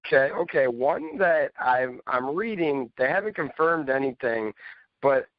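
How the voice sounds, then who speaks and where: A man's voice: medium pitch, big pitch swings, a little slow, no room sound. A man hosting a casual panel chat, speaking informally and chummily with his co-hosts. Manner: informal, addresses co-hosts as buddy and bro. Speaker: podcast host. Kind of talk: casual panel chat about games and movies. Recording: slightly dull, lo-fi audio.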